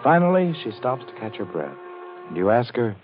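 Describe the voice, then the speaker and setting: An actor playing a hard-boiled crime-story narrator in 1940s radio drama, setting a tense scene dramatically. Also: male voice, mid-range voice, big pitch swings, normal speed, faint music behind, lightly acted. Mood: tense, dramatic. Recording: dull old radio recording.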